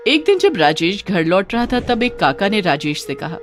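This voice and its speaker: Female narrator for bedtime moral stories: scripted read, animated pitch, music bed. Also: moderate pace. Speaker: story narrator.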